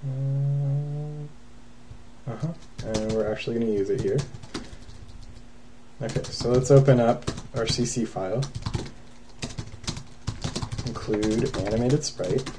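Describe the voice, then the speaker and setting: A man's voice: medium pitch, slow pace, long pauses, background noise. A man narrating a coding screencast in a casual, thinking-aloud way with many fillers. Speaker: programming tutorial host.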